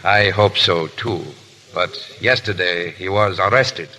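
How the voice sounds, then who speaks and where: An actor playing a cold, insinuating French revolutionary agent in 1930s radio drama, speaking formally and clipped. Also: male voice, low voice, slow pace, bright, forceful tone, heavily acted. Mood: coldly insinuating. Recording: noisy old 1930s radio recording.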